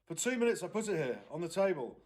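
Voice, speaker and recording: A man plays a distraught aunt in a children's storybook. The voice is lifted well above the reader's usual pitch and comes out louder. The delivery is tearful, halting and emphatic. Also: big pitch swings. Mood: distressed. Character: distraught, tearful aunt recounting a missed phone call.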